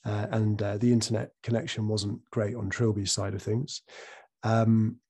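Croaky male podcast host, halting. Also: lowish voice, little pitch movement, a little mellow. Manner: hesitant, many ums and restarts. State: croaky from a cold. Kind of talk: casual interview.

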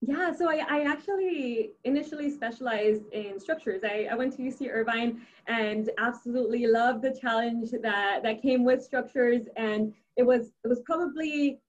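Young adult woman, native Spanish speaker, upbeat engineer guest; slightly high voice, dull lo-fi sound. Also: moderate pace. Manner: many restarts and fillers, upbeat. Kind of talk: casual interview answers.

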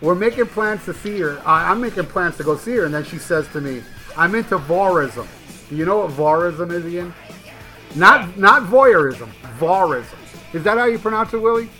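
A man's voice: high voice, expressive, normal speed, bright, forceful tone, soft background music. An American man hosting a podcast, telling rambling, crude stories with lots of swearing and laughter. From United States. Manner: rambling storyteller, swears freely, laughs often. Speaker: podcast host. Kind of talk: casual crude podcast chat. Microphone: headphones.

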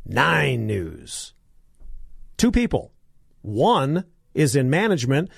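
Hoarse, lively-voiced male radio talk host. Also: medium pitch, slow, no room sound, studio quality. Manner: combative, sarcastic ranting. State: hoarse, coughing.

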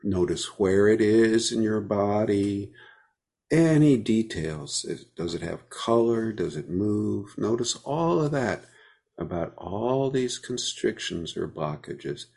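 A man leading a guided meditation, speaking gently with unhurried pauses between phrases. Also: fairly low pitch, expressive, vocal fry.

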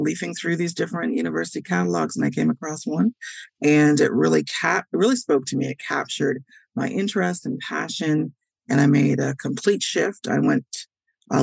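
A middle-aged woman from Canada, a historian, answering interview questions in a measured, thoughtful way with pauses. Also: deep voice, creaky, a little mellow, husky, no room sound. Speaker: historian, guest.

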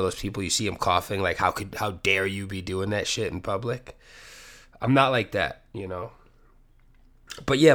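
A man's voice: low-pitched, a bit bright. A man hosting a casual solo podcast from his apartment while sick with a cold, sniffly and rambling, with plenty of swearing. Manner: rambling, profane, self-deprecating. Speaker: podcast host. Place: home apartment.

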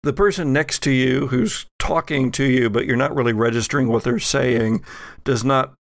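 A man acting as game master, narrating a tabletop roleplaying game in a halting, deliberate, improvised way. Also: mid-range voice, gravelly, no echo.

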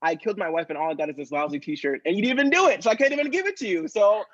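A man's voice: sits high, expressive, rapid-fire, fairly bright tone, a little hiss or hum. A man improvising an exasperated, goading friend in a comedy podcast, on dull lo-fi audio. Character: exasperated, goading dinner-party friend bearing gifts.

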